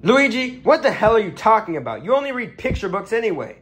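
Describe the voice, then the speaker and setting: A man voicing a blunt, irritable adult plumber who talks in a gruff, crude and exasperated way in a comedy skit. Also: sits high, very animated, fast, fairly bright tone.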